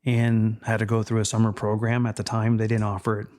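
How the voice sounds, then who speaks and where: Low, even-voiced Texan-born man. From Texas. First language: Spanish. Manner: measured, reflective, with frequent fillers. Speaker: podcast guest. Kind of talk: casual interview chat.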